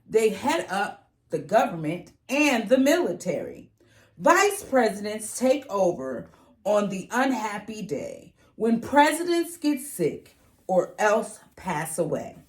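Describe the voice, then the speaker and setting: A middle-aged American woman, an educator, reading a children's book aloud and explaining it in a deliberate, instructive way. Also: medium pitch, very animated. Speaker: educator and host. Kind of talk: children's picture book read-aloud with teaching.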